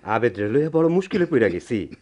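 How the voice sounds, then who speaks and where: A man's voice: mid-range voice, sing-song, brisk, darkish tone. A man playing a loyal, worried villager in a radio drama, pleading urgently in a rural Bangladeshi dialect. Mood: alarmed, pleading. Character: loyal, alarmed village man, earnest helper.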